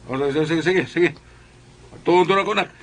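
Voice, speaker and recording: A man playing an excitable, henpecked Cebuano father in a comedy skit, exasperated and pleading. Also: fairly high pitch, normal speed, close to an everyday voice. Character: henpecked, excitable Cebuano father pacifying his fierce wife. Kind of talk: comedic first-person storytelling and skit acting.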